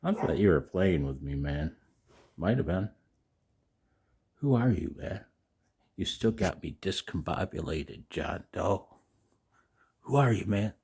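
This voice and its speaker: An older man talking casually and in a rambling way to his viewers as a video host, folksy and halting, with a gravelly voice. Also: low-pitched, animated, darkish tone. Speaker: amateur radio operator and video host. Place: outdoors in the cold.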